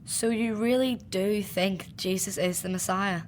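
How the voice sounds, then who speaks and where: A woman's voice: medium pitch, measured pace, a little hiss or hum, lightly acted. A woman playing an eager, questioning woman of biblical times in a radio play, with an inquisitive manner. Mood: curious.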